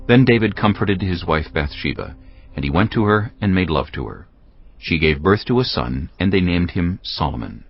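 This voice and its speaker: A man's voice: deep voice, expressive, moderate pace, highs cut off, faint music behind. A man narrating scripture in a scripted, dramatized audio Bible production.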